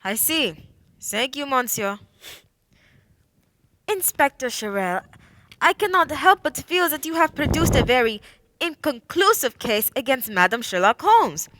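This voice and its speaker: A woman's voice: high-pitched, big pitch swings, unhurried, long pauses, a bit bright, a little hiss or hum, heavily acted, low-quality recording. A woman acting a stern, formal and commanding French police prefect in a radio play. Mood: formal, measured. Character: stern female French police prefect presiding over a case.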